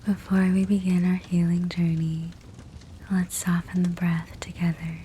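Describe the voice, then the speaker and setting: A woman speaks in a soft, breathy whisper, low and very slow, as she guides a sleep meditation. Her delivery is gentle and soothing, with long pauses between short phrases. A steady fireplace crackle runs behind her voice. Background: fireplace sounds.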